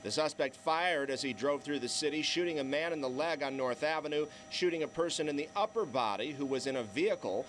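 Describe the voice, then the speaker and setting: A man's voice: a little high, fairly quick, few pauses, fairly bright tone, a little hiss or hum. A man delivering a scripted TV news report as a field reporter, live from a city street.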